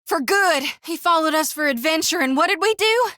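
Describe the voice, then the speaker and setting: A woman voicing a folksy, devout young priestess in a video game, with an earnest, expressive Southern US drawl. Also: very high voice, brisk, dry recording, studio quality, full character voice. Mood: brisk, concerned. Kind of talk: video game character voice lines.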